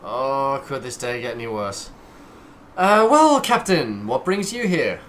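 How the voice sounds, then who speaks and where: A man acting a cavalier, wry smuggler pilot in an audio drama, flippant and expressive in his delivery. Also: mid-range voice, unhurried, a little hiss or hum. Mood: flustered.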